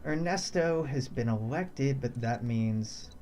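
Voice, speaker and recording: A man's voice: mid-range voice, slow pace, dark tone. A young man from the United States casually thinking aloud while streaming a strategy game, chuckling often. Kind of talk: casual let's-play commentary.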